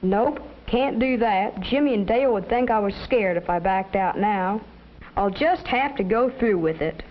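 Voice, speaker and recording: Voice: medium pitch, moderate pace, lightly acted. A young performer playing a pushy schoolboy ringleader with slangy bravado in a 1950s educational film. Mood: scheming, uneasy. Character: cocky scheming schoolboy ringleader, pushy with friends. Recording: dull vintage 1950s film soundtrack.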